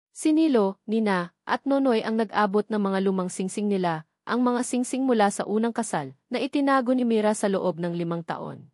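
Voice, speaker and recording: Lady reading an audiobook love story, sentimental and measured, mid pitch, super dry sound. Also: a little mellow, no echo. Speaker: audiobook narrator. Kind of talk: scripted dramatic story narration.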